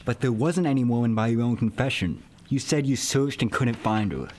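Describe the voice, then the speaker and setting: A man's voice: mid-range voice, brisk, a little mellow, close to an everyday voice. Radio drama captain, male actor: bossy, sarcastic, scornful, slight background noise. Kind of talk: amateur radio drama acting.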